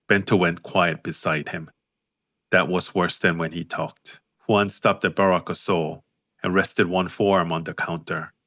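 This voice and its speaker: Very deep-voiced man narrating an audiobook. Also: bright, forceful tone, no echo, clean studio sound. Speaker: author and narrator.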